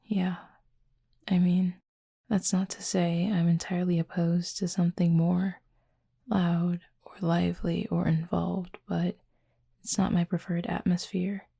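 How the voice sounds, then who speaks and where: A woman playing a reserved, gently teasing cupid roommate in an intimate audio roleplay, speaking softly and breathily in a dry, measured way. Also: fairly low pitch, flat delivery, creaky, slightly rough, full character voice. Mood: reserved, thoughtful. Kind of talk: intimate roleplay audio drama, addressing the listener.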